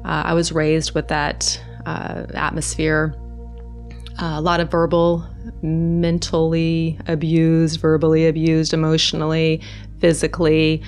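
A middle-aged woman with a Norwegian accent recounts her life in a soft, hesitant way, pausing on fillers and restarting her sentences. Her voice sits low and stays fairly flat in pitch. Faint music plays quietly beneath her. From Norway. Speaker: guest. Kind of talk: personal testimony in conversation.

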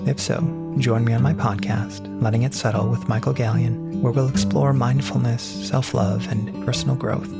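A man's voice: medium pitch, steady tone, moderate pace, creaky, hardly any pauses. A man reading a scripted promo as a podcast host. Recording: loud plucked guitar music behind.

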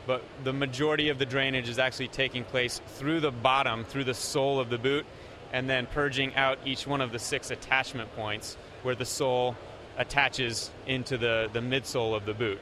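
A man representing a company, explaining product features in a steady way with frequent pauses. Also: medium pitch, noisy background.

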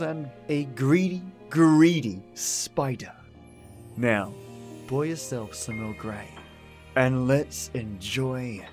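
A man's voice: mid-range voice, a little mellow. A man hosting a podcast with a chatty, theatrical introduction, stretching words for emphasis. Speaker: podcast host. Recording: music behind the voice.

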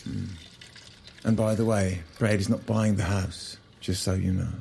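An actor playing an earnest, frustrated husband, speaking in a strained, halting, naturalistic way in an audio drama. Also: male voice, fairly low pitch, little pitch movement, vocal fry, a little mellow, slight background noise. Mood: guarded, matter-of-fact.

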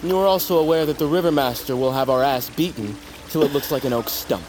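A man's voice: mid-range voice, fast, noisy background, lightly acted. An actor playing a cautious, grumbling young tribesman in an audio drama, exasperated and scolding with dry sarcasm. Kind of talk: naturalistic audio drama acting.

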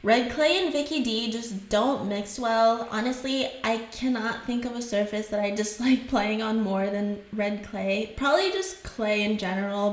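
A woman's voice: on the high side, roomy sound. A young woman from Haiti whose first languages are French and Creole, chatting casually as a podcast host and laughing often.

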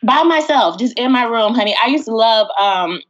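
A woman's voice: fairly high pitch, animated, normal speed, dull audio, a crowd in the background. An American woman from Texas chatting casually as an interview guest, telling stories in a chatty way and acting out quotes. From Texas, United States. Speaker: interview guest, musical theater performer. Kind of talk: casual conversational storytelling.

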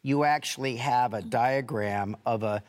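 Male talk-show co-host, slow unhurried pace, halting phrasing. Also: mid-range voice. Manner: halting, searching for words.

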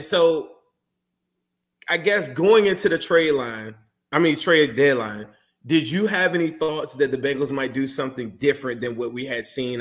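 Chatty fan-podcast host guy, excited, lots of ums and likes. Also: mid-range voice. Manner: enthusiastic, rambling questions with many fillers. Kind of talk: casual podcast interview.

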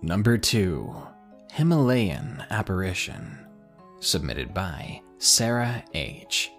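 A man narrating true ghost stories as a podcast host, in a measured, suspenseful storytelling manner. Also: deep voice, sing-song, fairly bright tone, faint music behind. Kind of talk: scripted horror story narration.

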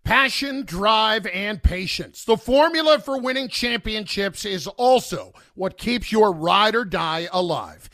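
A man's voice: high-pitched, animated, measured pace, hardly any pauses, pushed and bright, no room sound, studio quality. A man reading a scripted radio advertisement as a commercial announcer.

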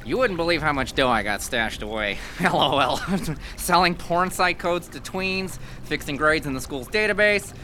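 A man's voice: a little high, expressive, pushed and bright, a little hiss or hum. A man playing a nerdy, boastful, awkward teenage computer whiz in an audio drama, speaking in internet slang. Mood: smug, boastful. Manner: spells out internet slang, halting and eager.

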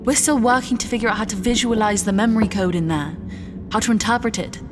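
A woman's voice: a little high, fast, over music, close to an everyday voice. A woman playing a defensive, pleading neuroscientist in a sci-fi audio drama, urgent and exasperated. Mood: insistent, defensive. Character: defensive, pleading female neuroscientist, anxious but defiant.

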